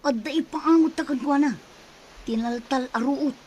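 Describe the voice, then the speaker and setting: Woman as a high-voiced, cautious older sister. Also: normal speed, mellow, slight background noise, lightly acted. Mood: cautious, caring. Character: cautious, caring older sister, a humble island rescuer. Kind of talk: audio drama acting.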